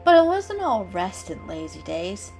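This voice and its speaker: A woman narrating a children's story in an expressive, dramatic reading style. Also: mid-range voice, a little slow, creaky. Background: soft music.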